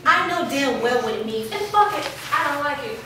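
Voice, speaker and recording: A woman's voice: high voice, animated, moderate pace. A woman playing an indignant, foul-mouthed young girlfriend in a staged drama reading. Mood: outraged. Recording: echoey room, distant mic, clear noise.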